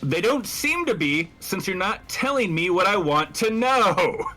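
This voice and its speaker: Man playing a curt, forceful spaceship captain. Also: high-pitched, big pitch swings, a little slow, faint music behind, pitched above the performer's own voice, heavily acted. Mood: menacing. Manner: curt, commanding, intimidating. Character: brusque, commanding spaceship captain, putting on an angry show.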